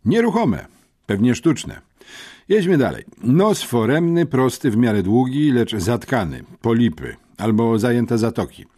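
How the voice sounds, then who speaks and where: A man's voice: mid-range voice, very animated, unhurried, warm tone, husky, voice pushed up. A man voicing an earnest, talkative schoolboy amateur detective in an audiobook, meticulous and matter-of-fact. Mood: pedantic, matter-of-fact. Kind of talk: audiobook character reading.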